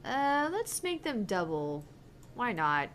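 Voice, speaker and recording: A woman's voice: a little high, big pitch swings, takes it slowly, fairly bright tone, slight background noise. A woman co-hosting a gaming channel, chatting casually as she plays. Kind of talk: casual gameplay chat.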